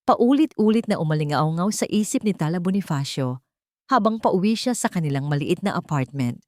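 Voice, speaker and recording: A woman's voice: lowish voice, lively intonation, brisk, a little mellow, no echo, studio quality. A woman reading a scripted romance story as a dramatic narrator.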